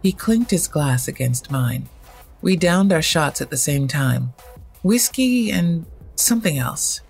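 A storyteller with a husky voice, narrating first-person horror fiction in a measured, dramatic way. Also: medium pitch, expressive, faint music behind.

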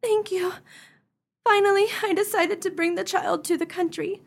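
A woman's voice: very high-pitched, steady tone, moderate pace, vocal fry. An actress playing a desperate, forlorn young mother in a comic radio melodrama, with earnest, melodramatic delivery. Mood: earnest, grateful.

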